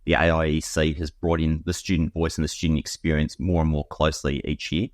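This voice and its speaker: A man's voice: very deep voice, flat delivery, fairly quick, darkish tone, no echo, clean studio sound. A man hosting an interview, chiming in with affirming remarks. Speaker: podcast host. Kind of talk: casual interview chat.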